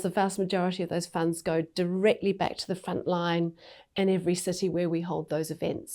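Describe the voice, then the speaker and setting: A woman leading a national charity explains how it works, speaking in a fluent, earnest way. Her voice sits somewhat low for a woman. The setting is an unhurried interview conversation. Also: dark tone. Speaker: charity CEO.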